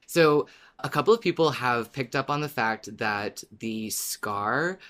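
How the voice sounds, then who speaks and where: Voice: mid-range voice, lively intonation, unhurried, a bit bright. A podcast co-host in a casual fan discussion, talking conversationally with the odd chuckle.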